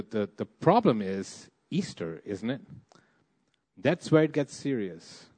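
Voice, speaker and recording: A man's voice: mid-range voice, a little mellow. A young man from Malaysia preaching a sermon, with frequent "uh" and "um" fillers between phrases. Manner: hesitant, many "uh" and "um" fillers.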